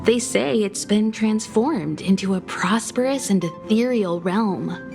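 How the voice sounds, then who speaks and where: A woman's voice: mid-range voice, unhurried, vocal fry. An actress voicing a poised, worldly female navigator in a video game, speaking gracefully and articulately. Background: game music.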